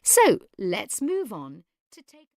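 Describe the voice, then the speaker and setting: A woman delivering a scripted educational lecture in a measured, clearly enunciated, instructive way. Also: medium pitch, huge pitch swings, dry recording, studio quality. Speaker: lecturer in an exam listening recording.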